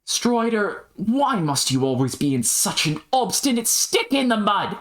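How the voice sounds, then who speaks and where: An excitable young adventurer, acted out by a man, speaks in a raised voice that swoops widely. He is exasperated and exclamatory, tossing out quaint slangy curses in an amateur audio drama. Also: sits high, unhurried, exaggerated voice. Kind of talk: amateur scripted character dialogue.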